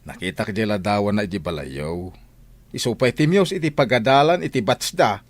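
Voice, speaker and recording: A man's voice: lowish voice, expressive, a little fast, dry recording, natural voice. An actor playing a man explaining family matters in an audio drama. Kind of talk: Ilocano audio drama acting.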